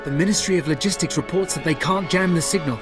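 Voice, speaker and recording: A man's voice: a little high, fast, music in the background, natural voice. An actor playing a dutiful male junior intelligence officer in a sci-fi game, reporting in a deferential, businesslike way. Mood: urgent, dutiful. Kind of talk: video game voice acting.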